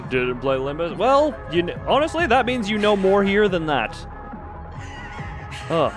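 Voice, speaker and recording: A man's voice: sits high, sing-song, measured pace. A man hosting a game livestream, chatting and commenting as he reads along. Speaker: livestream host. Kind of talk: livestream game commentary, reading story text aloud and chatting. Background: game music.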